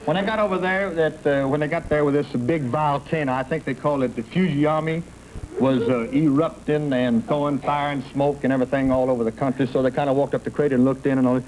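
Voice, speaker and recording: Fast-talking man spinning a yarn. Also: mid-range voice, fairly even intonation, a little mellow, soft background music, low-quality recording. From Texas. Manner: rambling storyteller, polite "yes, sir". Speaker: quiz show contestant. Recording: old 1950s broadcast recording.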